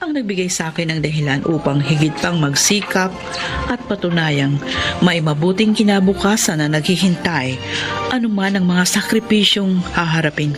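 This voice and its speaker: A woman's voice: on the low side, lightly acted. A woman narrating as an abandoned wife and mother who resolves to work hard for her children, over background music. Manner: solemn, resolute. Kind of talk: dramatic first-person narration.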